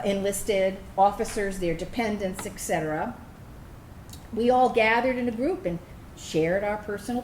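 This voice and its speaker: A woman's voice: medium pitch, darkish tone, lightly acted. Actress as earnest female ex-Navy officer, reflective stage monologue, echoey room, faint noise. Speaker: actor reading a veteran's personal narrative. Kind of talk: staged reading of a personal monologue. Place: indoor room, staged reading.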